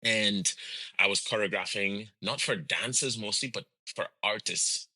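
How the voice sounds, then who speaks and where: Deep-voiced man, laid-back. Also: a little slow, no echo. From Trinidad and Tobago. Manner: relaxed, laughs easily, many fillers. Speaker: guest musician. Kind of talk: casual interview talk.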